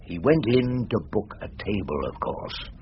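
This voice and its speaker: A man's voice: fairly low pitch, big pitch swings, a little slow, muffled recording, a little hiss or hum. An actor playing a wily older British male barrister in a radio drama, with a wry, courtly, rhetorical manner. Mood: smoothly persuasive.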